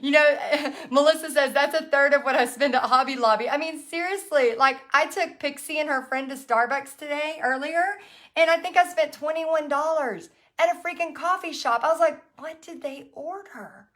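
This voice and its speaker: Hoarse woman chatting live. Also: high-pitched, moderate pace, fairly bright tone. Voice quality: folksy, chatty, full of fillers and restarts. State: hoarse, raspy from overuse. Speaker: painting instructor hosting a live stream. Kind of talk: casual live-stream chat, reading comments.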